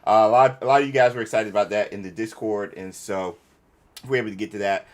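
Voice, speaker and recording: A man's voice: lowish voice, fairly even intonation, fast talker. A man hosting a livestream, chatting casually and playfully with viewers in informal slang. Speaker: live stream host.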